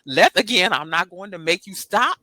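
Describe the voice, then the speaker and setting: Woman, financial planner guest; animated, preachy motivator; forceful bright voice, dry close sound. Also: on the low side, normal speed, no room sound. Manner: animated and preachy, keeps asking "right?"; laughs often, swings into lively voiced asides. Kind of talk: upbeat motivational interview talk.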